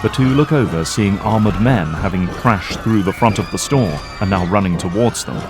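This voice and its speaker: Low-voiced male narrator over music. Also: moderate pace. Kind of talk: scripted audio drama narration.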